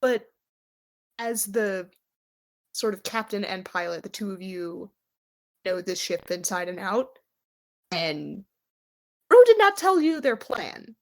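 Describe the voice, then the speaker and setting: A woman's voice: medium pitch, big pitch swings, slow, pauses often, darkish tone. Conversational woman running a tabletop game. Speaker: game master. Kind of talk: narrating and refereeing a tabletop game, unscripted.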